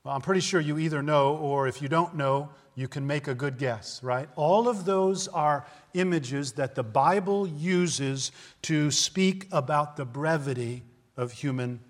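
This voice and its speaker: Man, fifties, pastor, earnest conversational sermon, rhetorical questions, slightly high voice, long hall reverb. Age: 50s.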